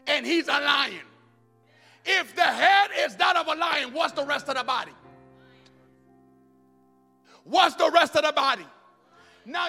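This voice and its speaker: A man preaching a sermon, swinging between loud shouted lines and quick quieter asides. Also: extremely high pitch. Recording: music under voice in a long-reverb hall.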